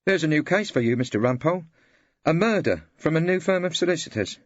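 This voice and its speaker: A man performs a brisk clerk in legal chambers for an audiobook. The delivery is businesslike and pitched up from a narrator's register. The recording is a bit muffled. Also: expressive, no room sound. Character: male barristers' clerk, brisk, matter-of-fact. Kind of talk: audiobook character dialogue.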